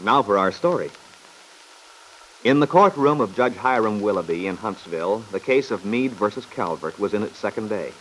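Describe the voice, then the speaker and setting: A man's voice: on the low side, lively intonation, moderate pace, a bit bright. A man narrating an old-time radio serial in a solemn, formal, dramatic style. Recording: noisy vintage radio recording.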